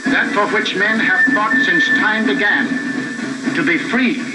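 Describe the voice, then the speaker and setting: A man playing an impassioned patriotic orator in a wartime propaganda film, solemn and declamatory, with dramatic pauses. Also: sits high, rough recording. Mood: solemn, stirring. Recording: old film soundtrack, loud music behind.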